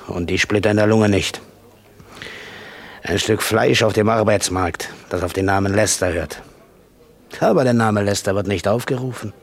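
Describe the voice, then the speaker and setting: Bitter, low-voiced hired killer. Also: male voice. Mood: bitter, sardonic. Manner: mocking, brooding monologue. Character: bitter, sardonic hired killer brooding in a bar. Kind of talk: 1960s German radio play acting.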